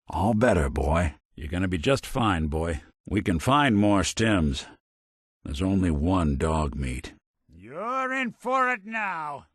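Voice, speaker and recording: The robot private eye at your shoulder in a post-apocalyptic video game, world-weary and dry-witted but kind, low voice rising and dropping, recorded close and dry. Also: male voice, no room sound, full character voice. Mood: reassuring. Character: weary, wisecracking, kind-hearted male synth detective. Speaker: video game companion character. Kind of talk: scripted game voice lines in hard-boiled detective manner.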